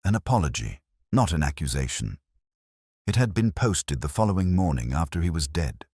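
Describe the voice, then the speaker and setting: A man playing a weary, erudite police inspector, narrating his case in a measured, melancholy, sardonic way as a literary audio drama. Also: a bass voice, sing-song, darkish tone, slightly rough, no echo, studio quality, exaggerated voice. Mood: brooding.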